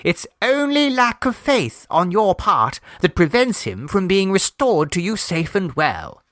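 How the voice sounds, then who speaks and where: A man's voice: sits high, very animated, unhurried, a bit bright, no echo, clean studio sound, pitched above the performer's own voice, full character voice. A man voicing an earnest, defiant lady neighbour in a storybook, self-righteous and insistent.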